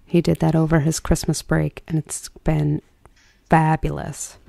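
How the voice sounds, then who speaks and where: A soft-spoken woman hosting an ASMR cooking role play, chatting soothingly as if to a guest. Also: sits low, monotone, unhurried, creaky, no echo. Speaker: ASMR host.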